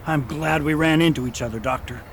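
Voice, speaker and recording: A man's voice: on the high side, fairly quick, slight background noise, natural voice. A man playing an earnest, loyal deputy in an audio western. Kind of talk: amateur radio western acting.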